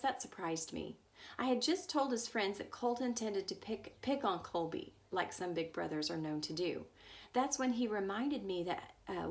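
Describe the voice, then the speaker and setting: A woman reading a book aloud as a storyteller, now and then stumbling over words. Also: medium pitch. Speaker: story reader.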